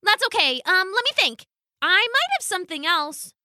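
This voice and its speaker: A woman's voice: very high voice, sing-song, moderate pace, bright, forceful tone, dry recording, studio quality, pitched above the performer's own voice, full character voice. A woman voicing a plucky, silly chubby female duck who talks in a bubbly, giggly way.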